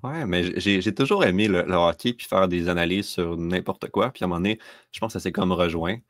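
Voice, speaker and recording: A Québécois man guesting on a hockey podcast. His voice is very low and sounds dry and close. He talks easily and informally, dropping little tags at the end of his phrases. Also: quick pace, dry recording. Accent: Québécois French. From Quebec, Canada. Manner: relaxed, fills with "là" and "tu sais". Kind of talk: casual interview talk.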